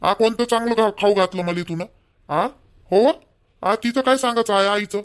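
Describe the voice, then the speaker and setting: A man's voice: high voice, moderate pace, fairly bright tone, a little hiss or hum. A man voicing a blunt, fault-finding young village husband in an amateur cartoon, nagging in a Vidarbha Marathi accent. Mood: complaining, sarcastic. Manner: blunt, nagging, repetitive complaining. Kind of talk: amateur cartoon dialogue in rural dialect.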